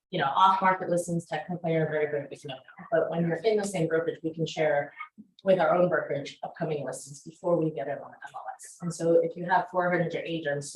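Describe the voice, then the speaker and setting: A woman teaching a real estate class, chatty and energetic, with many fillers. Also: sits low. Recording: roomy classroom echo.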